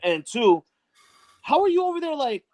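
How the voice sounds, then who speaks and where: A man's voice: extremely high pitch, big pitch swings, normal speed, a little mellow, lo-fi sound. A man from New York, co-hosting a casual sports chat show, heated and full of banter and swearing. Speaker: co-host.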